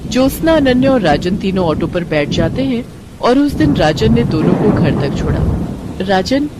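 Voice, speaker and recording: A woman's voice: medium pitch, very animated, moderate pace, heavy background noise. A woman reading a scripted story as its narrator.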